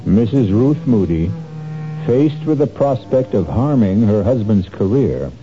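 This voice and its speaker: A man hosting and narrating a 1970s radio mystery show in a measured, grave manner. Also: fairly low pitch, big pitch swings. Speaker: host narrator. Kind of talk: scripted radio drama narration. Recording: lo-fi old radio recording over music.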